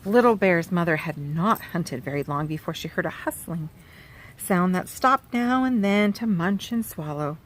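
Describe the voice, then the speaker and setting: Lively woman reading a storybook. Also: lowish voice, normal speed, slight background noise. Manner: gentle, speaks to young listeners and points at pictures. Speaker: children's author reading aloud. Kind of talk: storybook read-aloud for children. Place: farm.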